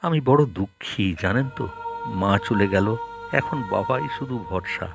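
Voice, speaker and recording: An anxious, devoted son in an animated cartoon. His low voice rises and falls a lot as he protests and pleads. Music plays clearly behind him. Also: normal speed. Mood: pleading, dejected. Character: anxious, devoted adult son fretting over his old father.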